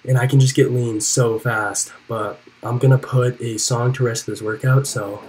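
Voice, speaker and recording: A man's voice: medium pitch, steady tone, normal speed, darkish tone, rough recording. A young man touring his house and talking about his workouts in a casual vlog, rambling with lots of "like.". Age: young adult. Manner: laid-back, rambling with many "like" fillers. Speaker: fitness vlogger. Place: house and car, handheld. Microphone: handheld camera, later a voice-over mic.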